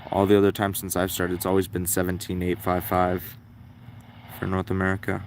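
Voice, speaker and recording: Deep-voiced, low-key man musing aloud. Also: monotone, a little fast, a little hiss or hum. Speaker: livestream host, radio hobbyist. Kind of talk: casual livestream commentary, musing aloud.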